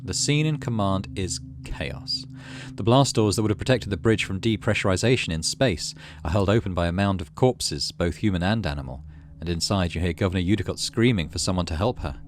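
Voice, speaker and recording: Low-voiced man reading a game story aloud. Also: a little fast, noisy background. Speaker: gaming channel host. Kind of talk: dramatic read-aloud narration of a visual novel; casual let's play commentary between readings. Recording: game music behind the voice.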